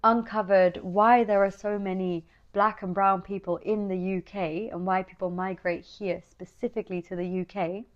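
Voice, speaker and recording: A woman's voice: mid-range voice, steady tone, normal speed, hardly any pauses. A young woman raised in the Netherlands and the UK, earnestly talking to camera in an explanatory commentary and often checking in with "right?". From Sri Lankan family, raised in the Netherlands and UK. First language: Dutch. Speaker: video essay host. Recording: slightly dull lo-fi audio.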